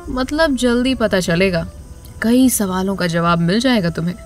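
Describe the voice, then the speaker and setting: A woman playing a cryptic, commanding, mysterious female guide in a horror audio drama, measured and taunting. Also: medium pitch, lively intonation, soft background music, close to an everyday voice. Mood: cryptic, stern.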